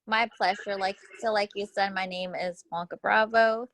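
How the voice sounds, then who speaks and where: A woman's voice: medium pitch, steady tone, normal speed, a bit bright, slight background noise. A young woman from Houston whose first language is Spanish, answering interview questions in an earnest, reflective way with small restarts. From Houston, Texas.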